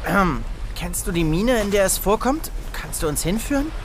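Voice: mid-range voice, animated, moderate pace, close to an everyday voice. An actor playing a jittery, blunt young adventurer in a children's audio drama, hesitant in delivery. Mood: questioning. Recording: clear ambient noise behind.

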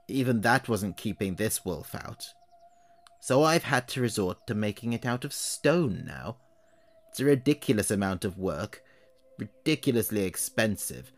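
A man's voice: lowish voice, natural voice. Man voicing a wary, aggrieved old witch. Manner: slow, chatty complaining monologue rising to anger. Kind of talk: audio horror story reading.

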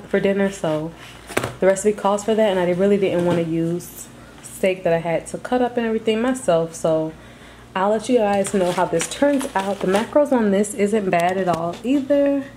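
A woman's voice: medium pitch, a little mellow, a little hiss or hum. A woman vlogger talking casually and informally to her viewers, in a chatty way. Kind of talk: casual grocery haul vlog voice-over.

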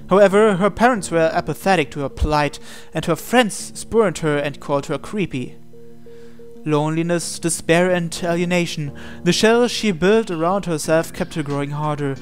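A man reading a visual novel aloud as expressive narration, in a measured, dramatic way. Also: fairly high pitch. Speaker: gaming channel host reading aloud. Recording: voice over game music. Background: game music.

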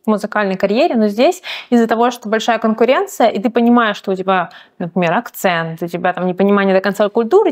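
A woman's voice: on the high side, a little mellow. A woman hosting an interview, asking questions in a chatty, informal way and murmuring along as she listens. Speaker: host, interviewer.

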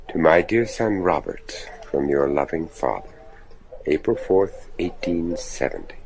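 Gothic radio drama: earnest, probing judge, middle-aged, formal and hesitant, very animated intonation, slight background noise. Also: male voice, sits low, vocal fry, heavily acted, low-quality recording. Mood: solemn. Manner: hesitant, deliberate, formal, searching. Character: earnest, probing father, a judge hunting his lost son.

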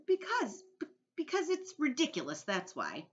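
A woman voicing a small, curious boy in a storybook, earnest, polite and excitable. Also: high-pitched, moderate pace, a little hiss or hum, voice pushed up. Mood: baffled, insistent. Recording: dull lo-fi recording.

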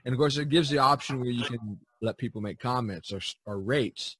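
A man hosting a casual chat, telling anecdotes in a rambling, halting way. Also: medium pitch, fairly bright tone, slightly rough. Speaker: podcast host.

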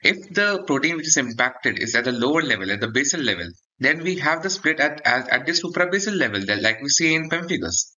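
A man's voice: on the high side, animated, a little fast, hardly any pauses, sharp tone, lo-fi sound. A man gives an explanatory medical lecture for exam students, often checking in with a short "okay". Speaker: dermatology lecturer.

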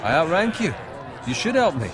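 A man's voice: a little high, sing-song, normal speed, a crowd in the background. An actor playing a resentful, sneering young male squire in a medieval fantasy game, grumbling and sarcastic. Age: young adult. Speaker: video game character actor. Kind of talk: cinematic fantasy game dialogue. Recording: clearly noisy outdoor game ambience.

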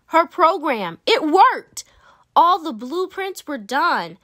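A woman's voice: high voice, very animated, takes it slowly, sharp tone, dry recording, clean studio sound. A woman reading a children's picture book aloud expressively, with dramatic emphasis and playful asides. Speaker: read-aloud storyteller.

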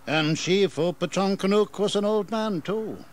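Slow-speaking, proud old colonial governor. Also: male voice, high voice. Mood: weary, reflective. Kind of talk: dramatized audio history acting.

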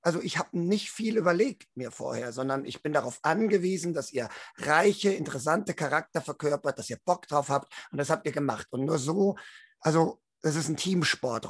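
A man's voice: on the high side, lively intonation, moderate pace, no room sound. A man with a lightly raised register explains things casually, like a game master talking to his players. The sound is dry, with very little room in it. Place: indoors on a group video call.

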